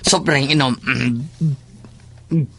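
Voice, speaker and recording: A man's voice: medium pitch, steady tone, very slow, gravelly, noisy background. A man playing a talkative, teasing, grumbling schoolboy in a comic audio drama. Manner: teasing, exclamatory, chatty.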